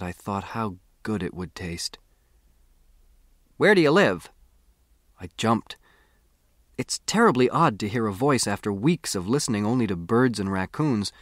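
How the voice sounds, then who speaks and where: A man's voice: lowish voice, dry recording, studio quality. A man with an American accent reads a first-person story as an audiobook narrator.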